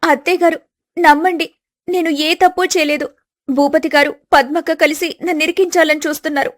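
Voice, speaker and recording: A woman's voice: high voice, fairly even intonation, fairly quick, pitched above the performer's own voice, natural voice. A woman playing a young, falsely accused daughter-in-law who pleads tearfully and earnestly in a family storybook melodrama. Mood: distressed pleading.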